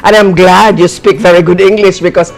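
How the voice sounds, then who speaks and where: Low-voiced older woman, Visayan accent. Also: steady tone, brisk, darkish tone, low-quality recording. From Cebu, Philippines. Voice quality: low, husky bedroom voice. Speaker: guest actress. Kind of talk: casual interview chat.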